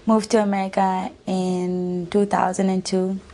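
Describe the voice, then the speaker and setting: A woman's voice: mid-range voice, level tone, measured pace, mellow. A nineteen-year-old woman from Afghanistan and Pakistan, giving informal interview answers. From born in Afghanistan, raised in Pakistan.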